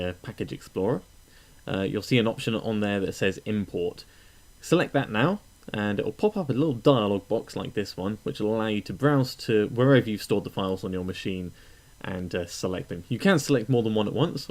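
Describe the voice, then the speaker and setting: A man narrating a casual step-by-step software tutorial in a conversational, explanatory way, pausing often with uhs. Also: lowish voice.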